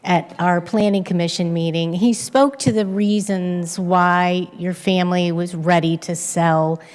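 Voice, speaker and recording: A woman runs a council hearing, friendly and informal, sometimes tripping over her words. Her voice sits a little low. The long reverb of the chamber follows her. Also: steady tone, unhurried, darkish tone. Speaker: council meeting chair. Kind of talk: chairing a public hearing.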